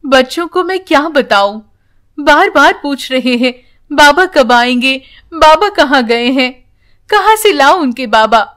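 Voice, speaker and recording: Storybook mother, female narrator acting: high-pitched, slow, worried, protective. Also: lively intonation, a bit bright. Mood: troubled. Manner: anxious, emotional. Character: worried, protective village mother of two daughters. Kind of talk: children's storybook character voice.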